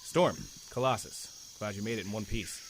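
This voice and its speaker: A man playing a confident, wry superhero team leader in an audio drama, crisp and commanding. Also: lowish voice, moderate pace, a little hiss or hum, lightly acted. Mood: brisk. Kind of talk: fan audio drama acting.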